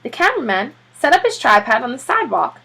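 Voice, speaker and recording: Woman, animated children's read-aloud, high-ish bright voice, swooping intonation, expressive teacher delivery. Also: fast talker, creaky, breathy edge. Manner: expressive, acts out character voices.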